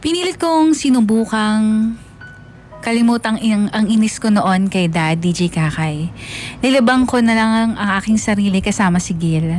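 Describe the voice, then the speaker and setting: A woman's voice: mid-range voice, normal speed, darkish tone. A woman with a Filipino accent, a radio DJ narrating a listener's letter in an emotive first-person style. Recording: faint music under narration. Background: faint background music.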